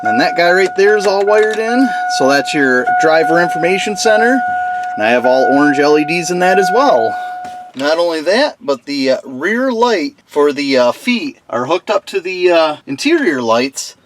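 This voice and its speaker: A man's voice: fairly high pitch, very animated, normal speed, over loud music. A man hosting a DIY build video, talking informally and folksily through a casual hands-on demonstration. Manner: informal, folksy, mild slang. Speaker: host of a DIY truck build channel.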